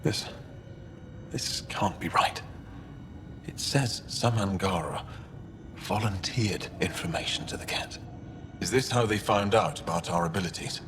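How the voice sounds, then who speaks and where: A man playing a gentle, earnest alien record keeper in a video game, speaking thoughtfully and with some hesitation. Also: low-pitched, measured pace, long pauses, noisy background. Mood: troubled. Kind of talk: naturalistic video game acting.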